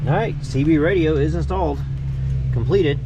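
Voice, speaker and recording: A man's voice: fairly high pitch, normal speed, heavy background noise. A man giving a casual hands-on DIY tutorial, thinking aloud as he works. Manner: thinks aloud while working. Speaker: host of a DIY vehicle radio install video.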